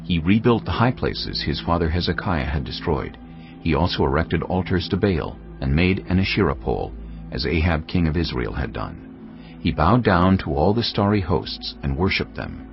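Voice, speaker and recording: Deep gravelly male narrator. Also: big pitch swings. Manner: measured, solemn storytelling. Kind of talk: scripted Bible narration. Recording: dull lo-fi audio over faint music.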